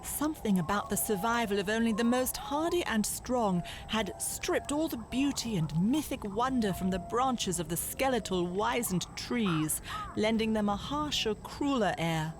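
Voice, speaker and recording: A woman reading scripted literary narration for a children's audio drama in a measured, dramatic, descriptive way. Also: on the high side, lively intonation, music in the background, rough recording.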